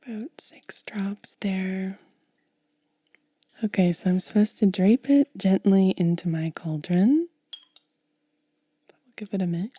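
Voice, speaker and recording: A woman doing soft-spoken ASMR, mostly whispering in a gentle, breathy, casual way as she narrates a hands-on demonstration close to the mic. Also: mid-range voice, no room sound. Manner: gentle, unhurried, casual commentary. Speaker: ASMR video creator and host. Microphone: close mic, dry.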